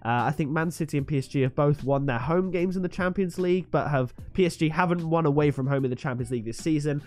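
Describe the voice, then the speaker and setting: A man's voice: fairly high pitch, fast, a little mellow, soft background music. A man with a stuffy nose from a cold, chatting confidently through his football predictions as the host of his own channel. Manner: chatty, confident, hypes the fixtures. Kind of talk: solo vlog match predictions.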